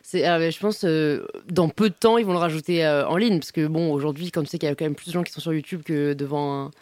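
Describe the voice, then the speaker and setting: A woman's voice: deep voice, fast talker, no echo. A young woman with a Parisian accent, a guest talking candidly and animatedly in a casual interview. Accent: Parisian French. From France. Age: young adult. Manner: candid, animated, many fillers and laughs. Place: restaurant wine cellar.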